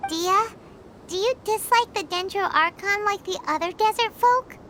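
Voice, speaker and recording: A woman voicing a tiny, childlike fairy companion in a video game, inquisitive and excitable, with a squeaky voice. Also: moderate pace, noisy background. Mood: hesitant, curious. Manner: exclamatory, speaks of herself in third person. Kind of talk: cartoonish video game voice acting. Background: prominent game music.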